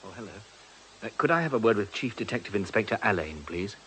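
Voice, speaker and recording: An eager young British reporter in a radio play. He talks quickly in a bright voice, polite and a little hesitant, yet insistent. There is faint noise behind him. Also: on the low side, sing-song, low-quality recording. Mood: brisk, polite. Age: young adult. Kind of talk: radio drama acting.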